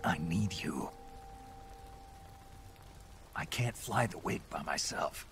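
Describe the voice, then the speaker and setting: Gruff, low-voiced battle-worn soldier. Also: male voice, very animated, normal speed, heavily acted. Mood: pleading. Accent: American. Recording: game audio under loud music.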